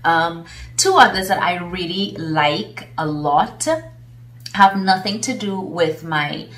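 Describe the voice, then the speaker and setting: A woman's voice: fairly low pitch, takes it slowly, a bit bright. A woman with a Trinidadian accent, chatting enthusiastically to camera about her favourite tools, with plenty of fillers. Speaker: vlogger and business coach. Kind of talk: casual talk to camera. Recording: roomy echo, mic at a distance.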